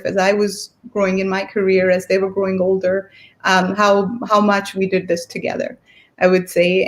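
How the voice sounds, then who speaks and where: A middle-aged woman from Pakistan telling her career story on a virtual panel, with frequent ums. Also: medium pitch. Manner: relaxed, many ums.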